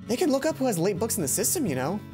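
A woman voicing a cheery, sporty teenage schoolgirl in a visual novel, bright, casual and eager. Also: mid-range voice, big pitch swings, racing, natural voice. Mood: curious, casual. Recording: background game music.

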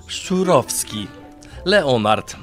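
A grandiloquent painter in a radio play, speaking slowly in a mid-range voice. He phrases everything ornately and pompously, with music playing clearly behind him. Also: mid-range voice, big pitch swings, a bit bright, exaggerated voice. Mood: grandly courteous. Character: pompous male artist painter, grandiloquent and bookish. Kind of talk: audio drama acting.

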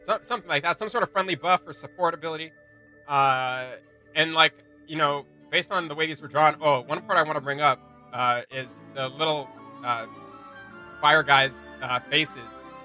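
A man's voice: a little high, moderate pace, bright, forceful tone, soft background music. A man giving casual, chatty reaction commentary as a host, often feeling his way through a phrase. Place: small home gaming room, indoors.

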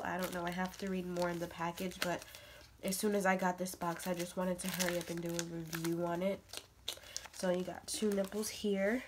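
A woman's voice: lowish voice, background noise. A young woman with a stuffy nose, chatting casually through an unboxing vlog in her bedroom, with lots of fillers as she rambles. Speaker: vlogger. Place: bedroom with a crib, indoors.

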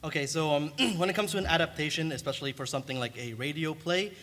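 A man explaining things on a panel, halting and stumbling over his words. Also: on the high side, a bit bright. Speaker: panelist, artist. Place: convention panel room. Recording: noisy room ambience.

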